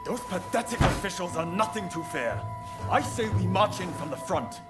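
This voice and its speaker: A man's voice: high voice, animated, normal speed, over loud music. A man acting a proud, earnest, hot-headed samurai disciple in a video game cutscene, stern and forthright. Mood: indignant.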